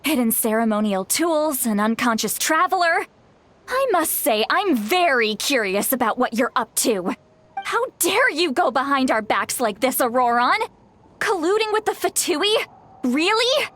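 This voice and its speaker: A bossy granny with a high voice that swoops expressively. This game character is sarcastic and stern, scolding theatrically, with faint noise behind her. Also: big pitch swings, a little slow, a bit bright, full character voice. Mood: stern, accusing. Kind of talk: English game voice acting.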